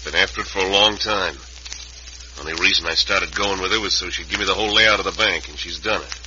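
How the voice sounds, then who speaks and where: A dull old radio recording of a ruthless cowboy outlaw. His voice is low and very forceful, his manner terse and cold. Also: fast talker, slight background noise. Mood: cold, menacing. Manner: terse, hard, folksy cowboy phrasing. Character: cold, ruthless Western bank robber. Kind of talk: old-time radio western acting.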